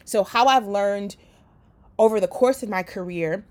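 A woman from Liberia giving casual career coaching, talking conversationally with frequent "right?" and quick restarts. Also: mid-range voice. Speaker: career coach and company founder. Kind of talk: casual coaching talk to an audience.

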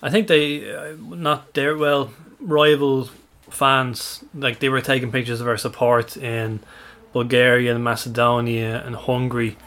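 A man's voice: mid-range voice, level tone, a little slow, fairly bright tone. A man with an Irish accent hosting a casual podcast, chatting easily, often laughing and correcting himself mid-sentence. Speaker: podcast host. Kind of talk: casual chat.

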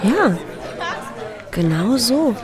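A woman's voice: on the high side, sing-song, drawn-out words, crowd noise, heavily acted. An actress in an audio drama playing a polite young woman visiting a village, speaking in a casual, slightly hesitant conversational way.

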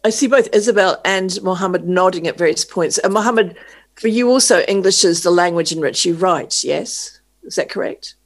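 A festival panel moderator asking measured, articulate questions. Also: mid-range voice, no room sound.

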